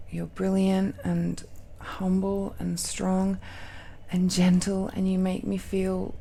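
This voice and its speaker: A woman voicing a tender, nervous girlfriend of dragon blood in an intimate romantic audio roleplay, speaking haltingly and softly, often dropping into whispers. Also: medium pitch, monotone, creaky, slight background noise. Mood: adoring, hesitant. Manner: slow, halting, hushed, often sighing or lapsing into whispers. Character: tender, nervous dragon-descended girlfriend confiding in her lover.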